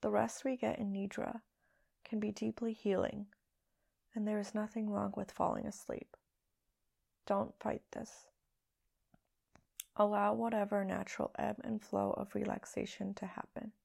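A woman leading a guided meditation as a podcast host, speaking in a hushed, soothing, unhurried way with long pauses. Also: mid-range voice, little pitch movement, vocal fry.

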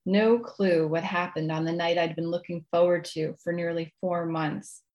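A woman's voice: fairly low pitch, fairly even intonation, normal speed, darkish tone, lo-fi sound. A woman speaking as a guest author, polite and modest in manner. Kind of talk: casual interview chat, then reading own writing aloud.

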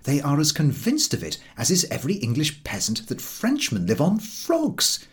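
A man reading comic literature aloud in an expressive, theatrical storytelling manner. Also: a little high, normal speed. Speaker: audiobook reader.

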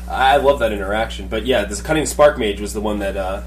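Low-voiced man in casual podcast chat. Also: brisk, a little hiss or hum. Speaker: podcast co-host.

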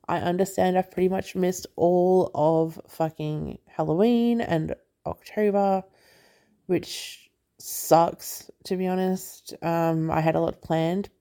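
A woman's voice: lowish voice, mellow. Stuffy-nosed woman chatting casually. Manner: chatty, laughs often, swears casually. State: congested, stuffy nose. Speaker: movie review podcast host.

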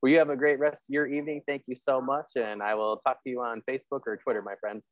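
A man's voice: medium pitch, flat delivery, fast talker, dry recording, lo-fi sound. A man hosting a podcast interview in casual chat, rambling and repeating himself as he goes. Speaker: podcast host and writer.

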